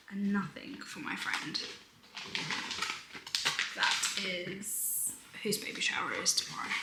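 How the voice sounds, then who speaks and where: Young British woman, casual vlogger, slight hoarseness, rambling delivery, echoey room. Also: medium pitch, a bit bright, slight background noise. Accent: British English. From United Kingdom. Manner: breezy and rambling, sometimes half-whispered. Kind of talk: casual chatty vlog.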